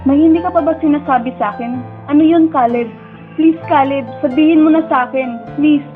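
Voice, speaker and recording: A woman's voice: sits high, brisk, narrow, tinny audio, soft background music, close to an everyday voice. A woman with a Filipino accent playing an emotional, pleading young woman who narrates and speaks in a tender, heartbroken radio drama. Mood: anxious, pleading. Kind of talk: dramatic first-person narration and dialogue.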